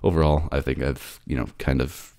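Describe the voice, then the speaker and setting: A man with an extremely deep voice and a lot of fry, narrating and talking as a voice actor. The recording is close and dry. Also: steady tone, normal speed, dark tone, dry recording. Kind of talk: scripted story narration and casual sign-off.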